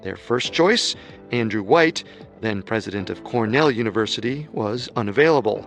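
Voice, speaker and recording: A man giving measured, scripted documentary narration. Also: fairly low pitch, expressive, fairly bright tone, soft background music.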